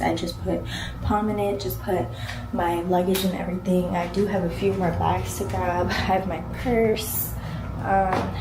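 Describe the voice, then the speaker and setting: A woman trucker chats to her camera, rambling and casual, her voice raspy because she is sick. She is heard in a roomy, echoing space with clear vehicle noise behind her. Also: medium pitch. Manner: chatty, rambling, says y'all. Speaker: truck driver vlogger. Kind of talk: casual vlog talking to camera.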